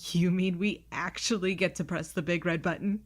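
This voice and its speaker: A woman's voice: fairly low pitch, fairly even intonation, normal speed, heavy background noise, close to an everyday voice. A woman playing a sarcastic, blunt, reluctant young space traveller in an audio drama. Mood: sarcastic, grudging. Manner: snarky, exasperated, blunt. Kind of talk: audio drama acting and narration.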